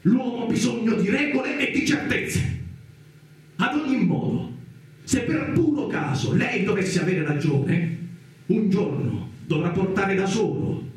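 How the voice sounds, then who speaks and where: A man's voice: high voice, animated, a little slow. An actor playing a stern, pessimistic male psychoanalyst in a theatrical radio drama, declaiming his admonishments. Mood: agitated warning. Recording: echoey, distant, roomy sound.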